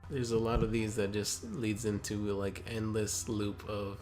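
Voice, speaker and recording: A man's voice: fairly low pitch, little pitch movement, unhurried, over music. A man casually reading aloud as a co-host, with joking commentary along the way. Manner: joking, easily amused.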